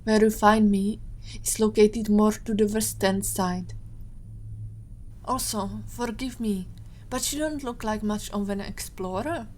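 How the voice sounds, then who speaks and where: Casting: actress as measured, informed female agent for audio drama, mid-range voice over light background noise. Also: medium pitch, fairly even intonation, darkish tone, natural voice. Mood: composed, explanatory. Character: cool, informed female Interpol agent held captive.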